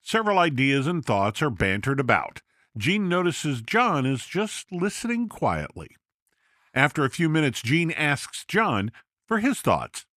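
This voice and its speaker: A man narrating a scripted story for a podcast in a steady, measured way. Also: fairly high pitch, dry recording, clean studio sound.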